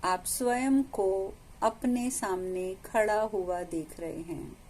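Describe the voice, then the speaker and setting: A woman with an Indian accent leads a guided meditation as a teacher, giving calm instructions with long pauses between phrases. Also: mid-range voice, slight background noise, low-quality recording.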